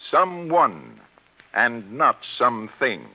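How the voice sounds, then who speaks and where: A man's voice: medium pitch, very animated, slow, dull audio, full character voice. An actor playing an agitated, earnest insurance man with an emphatic, insistent manner in 1950s radio drama. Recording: band-limited vintage radio recording.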